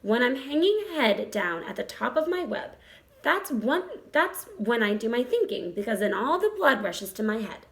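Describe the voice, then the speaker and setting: A woman voicing a motherly female spider in a storybook, cool and collected, comforting and gently firm as she gives measured advice. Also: on the high side, very animated. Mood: light, assured.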